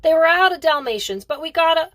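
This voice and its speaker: Woman as a young boy, storybook character, high, loud, exclamatory, excited, boisterous. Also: quick pace, dry recording, clean studio sound. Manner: shouting, exclamatory.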